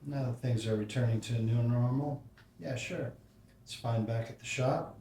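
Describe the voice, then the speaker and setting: Man reading a gentle, loving father. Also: medium pitch, moderate pace, recorded from a distance, slight background noise, natural voice. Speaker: actor in a table read. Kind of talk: screenplay table read. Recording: echoey room, away from the mic.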